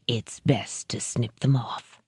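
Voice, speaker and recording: A refined, sadistic crime boss with a low, hushed voice that sinks well below the reader's own, as a woman narrator puts him on. He speaks with soft, clipped menace in a dry, close audiobook recording. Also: steady tone, slow pace, husky, dry recording, exaggerated voice. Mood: cold menace.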